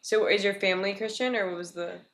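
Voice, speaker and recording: A woman's voice: mid-range voice, steady tone, fast talker, a bit bright. A woman hosting a podcast, asking questions in an eager, encouraging way. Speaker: podcast host. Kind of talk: casual interview. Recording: roomy echo, set back from the mic.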